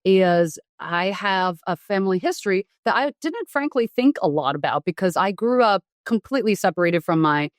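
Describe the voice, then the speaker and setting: A woman doctor who grew up in the US after being born in Taiwan, explaining frankly in a relaxed interview with many fillers and restarts. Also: mid-range voice, normal speed, few pauses, dry recording, clean studio sound. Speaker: doctor and guest. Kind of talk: conversational interview.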